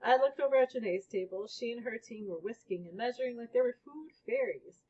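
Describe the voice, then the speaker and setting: A woman with a husky voice reading a children's book aloud as a storybook reader. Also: a little high, fairly quick, a little mellow. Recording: dull, lo-fi recording.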